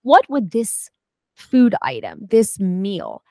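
Animated woman telling a story. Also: medium pitch, takes it slowly, creaky, no echo, studio quality. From United States. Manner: animated storyteller, many restarts and asides. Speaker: guest, food historian and cooking teacher. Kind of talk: casual interview chat.

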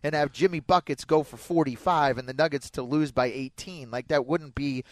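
A man's voice: a little high, moderate pace, no room sound. An American man hosting sports talk radio in an emphatic, opinionated way, close on a studio mic. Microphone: close studio broadcast microphone.

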